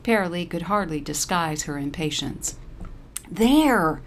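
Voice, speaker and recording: Low-pitched woman reading a story, noisy recording. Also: normal speed. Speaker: narrator. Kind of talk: scripted short-story narration.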